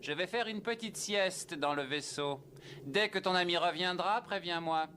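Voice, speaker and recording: A man voicing a smug, scheming warrior villain in a French anime dub, smooth and theatrical. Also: sits high, normal speed, sharp tone, slight background noise. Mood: slyly pleasant. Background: anime soundtrack music.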